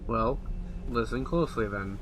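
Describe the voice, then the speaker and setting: A man's voice: medium pitch, normal speed, background noise. A man giving casual, offhand, musing commentary while he plays a video game. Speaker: gamer commentating on his play. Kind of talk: casual let's play commentary. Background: game music.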